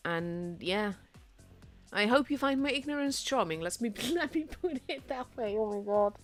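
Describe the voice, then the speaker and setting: A young Dutch woman, a native Dutch speaker, casting a tank game solo in a chatty, self-deprecating way that grows excited at big plays. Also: a little high, normal speed, faint music behind. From Netherlands. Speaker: esports caster.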